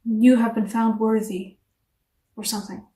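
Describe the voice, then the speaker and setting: A woman giving a casual tarot reading to camera, chatty and animated, English not her first language, laughing at herself between rambling asides. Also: a little high, measured pace, recorded from a distance. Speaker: tarot reader. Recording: echoey room, voice a bit off-mic.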